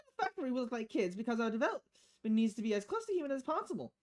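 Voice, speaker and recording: Woman doing a chirpy robot girl for a game, high n bouncy, cheeky, chatty. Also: sing-song, very fast, a little mellow. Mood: frank, chatty.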